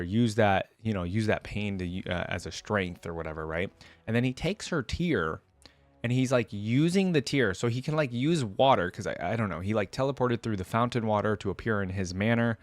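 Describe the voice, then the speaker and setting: A man's voice: medium pitch, no room sound. A man hosting a reaction video, chatting casually and thinking aloud in a puzzled way. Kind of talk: casual reaction commentary, some story reading.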